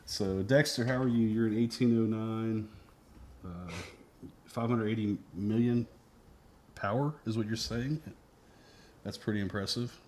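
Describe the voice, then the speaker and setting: A man hosting a game stream in a laid-back, joking way, with a deep voice. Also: takes it slowly, long pauses, darkish tone. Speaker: streamer host. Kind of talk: casual stream commentary.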